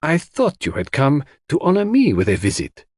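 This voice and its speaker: A man voicing an elderly, enigmatic Belgian gentleman detective for an audiobook, with precise, courteous and self-assured phrasing. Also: a little high, wildly swooping pitch, moderate pace, slightly rough, dry recording, studio quality, voice pushed up, full character voice. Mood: courtly. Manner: precise, courtly phrasing sprinkled with French address. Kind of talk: audiobook character voice.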